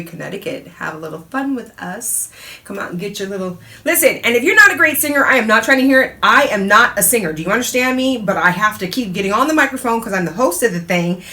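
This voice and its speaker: A middle-aged woman hosting her own talk show in a chatty, casual way, laughing often and breaking into animated asides. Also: mid-range voice, brisk. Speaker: radio host and DJ.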